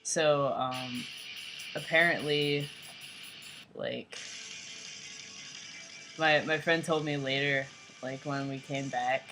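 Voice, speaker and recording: A young man with a light, fairly high voice talking in a rambling, casual vlog, full of "like" and "you know". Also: steady tone, slow, pauses often, music in the background. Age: young adult. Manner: rambling, filler-heavy, lots of "like" and "you know". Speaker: vlogger.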